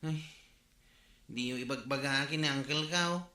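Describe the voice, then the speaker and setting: A man's voice: medium pitch, normal speed, sharp tone, reverberant, natural voice. An actor playing a cheeky young man who mocks and talks back in a comedic drama. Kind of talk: comedic radio drama acting.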